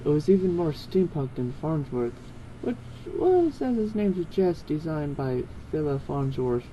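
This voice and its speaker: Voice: mid-range voice, very animated, measured pace, dark tone, dull audio, slight background noise. Someone reading fan fiction aloud in a casual, informal way, stumbling over words and correcting themselves.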